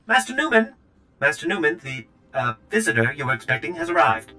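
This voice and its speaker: An actor playing a fussy, servile protocol droid with a formal, precise, polite manner in a video game. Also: male voice, fairly low pitch, very animated, a little fast, sharp tone, clean tone, heavily acted. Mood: flustered.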